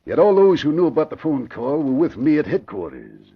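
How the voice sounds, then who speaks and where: An actor playing a cautious, suspicious police inspector in an old-time radio crime drama, speaking hesitantly and deliberately. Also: male voice, on the high side, sing-song, vocal fry, husky, full character voice. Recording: dull old radio transcription.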